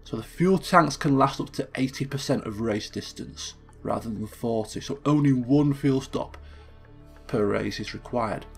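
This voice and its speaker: A man hosting a casual gameplay commentary, thinking aloud and correcting himself as he goes, his voice a little croaky from just waking up. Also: medium pitch, a little slow, soft background music. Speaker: let's play host.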